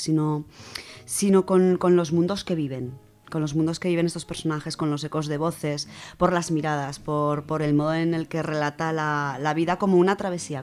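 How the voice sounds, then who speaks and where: A woman's voice: low voice, a little mellow. A woman with an accent from Spain presenting a literary radio segment in a conversational, enthusiastic, semi-scripted way.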